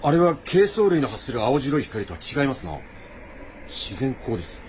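A man's voice: medium pitch, big pitch swings, moderate pace, dull audio, background noise, natural voice. A man acting a learned, observant scientist in a radio drama, speaking with formal, old-fashioned scholarly phrasing.

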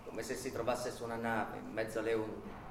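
A man giving dramatic literary narration in a radio play, with measured, incantatory phrasing. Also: on the low side, level tone, creaky, noisy background. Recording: roomy echo with music underneath.

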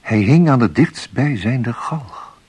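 An actor playing a dazed, horrified man narrating a nightmare in a radio play, hushed, husky and haunted. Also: mid-range voice, animated, takes it slowly.

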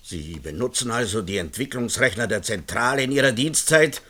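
Stern official grilling someone, mid pitched, formal, old sci-fi radio play. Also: male voice, animated, a little fast, pushed and bright. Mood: stern, accusing. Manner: formal, accusatory. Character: stern male official conducting an interrogation. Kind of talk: 1960s-90s German science-fiction radio drama acting.

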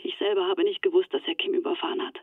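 A woman's voice: on the low side, fairly even intonation, quick pace, no room sound, narrow, tinny audio. A young woman in hiding in a radio drama. She sounds distressed and confiding as she recounts events, through a thin, dry telephone filter. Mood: anguished. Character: shaken Turkish-Swiss woman in hiding, recounting betrayal. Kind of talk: radio play acting.

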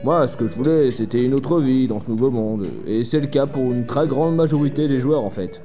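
A man's voice: mid-range voice, fairly quick, warm tone, faint music behind, natural voice. A man playing an easygoing innkeeper in a French audio drama, explaining things matter-of-factly. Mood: plain explaining. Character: easygoing innkeeper player who shuns heroics. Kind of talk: French audio fiction dialogue.

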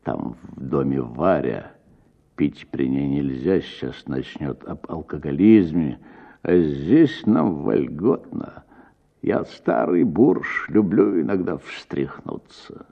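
A man's voice: extremely low pitch, animated, takes it slowly, creaky, dull audio, voice pushed down, heavily acted. A man playing an old idealist landowner in an audio story, sighing, lamenting and grandiloquent. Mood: conspiratorial. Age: older. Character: old idealist landowner, self-pitying, grandiloquent, drinking cognac.

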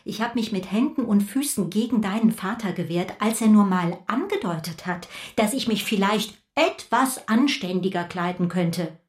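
A woman's voice: medium pitch, normal speed, few pauses, close to an everyday voice. An actress playing an exasperated, indignant middle-aged mother in a radio drama, dramatic and reproachful. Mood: reproachful, bitter. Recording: echoey room.

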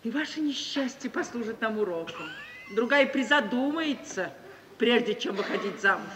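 An actress playing a proud, sharp-tongued society woman bent on revenge, declaiming theatrically in an old radio play. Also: on the high side, expressive, normal speed, natural voice. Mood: mocking. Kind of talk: 1950s Soviet radio play acting. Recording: reverberant, hissy 1950s radio recording.

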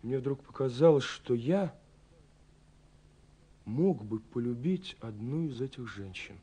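An actor playing a lonely, yearning young romantic in a radio drama, reading a letter aloud with a husky voice and brooding, halting delivery. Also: male voice, medium pitch, lively intonation, dark tone. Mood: restless yearning. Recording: 1979 radio recording.

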